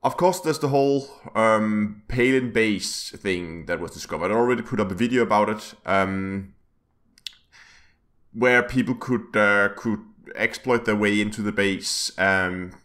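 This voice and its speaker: A man hosting his gaming channel's weekly news vlog, his voice a little rough, rambling with many fillers and restarts. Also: on the low side, measured pace, a bit bright. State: slightly rough voice.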